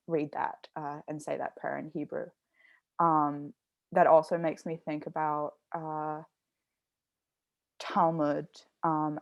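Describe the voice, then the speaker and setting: Young woman, low voice, gravelly fry, dry close sound, reflective, explaining carefully. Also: a little mellow, dry recording. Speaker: artist in conversation. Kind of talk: thoughtful conversational talk.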